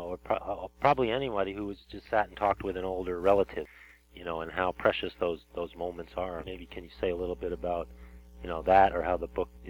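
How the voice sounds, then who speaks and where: A man interviewing a guest on the radio, speaking a little low and circling his points with fillers and restarts. The audio is dull and lo-fi, like an old radio broadcast. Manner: rambling, halting, many fillers and restarts. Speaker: interview host. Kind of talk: casual interview.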